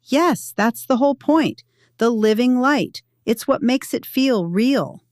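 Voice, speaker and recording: A woman voicing a pushy, impatient, self-important young female designer in a narrated audio story, brisk and bossy. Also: a little high, expressive, a little mellow, no room sound, clean studio sound, voice pushed up. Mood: insistent.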